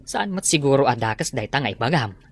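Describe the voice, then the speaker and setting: A man acting a calm, devout father who gently responds to his son's plans in a radio drama. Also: medium pitch, fast talker, slightly rough.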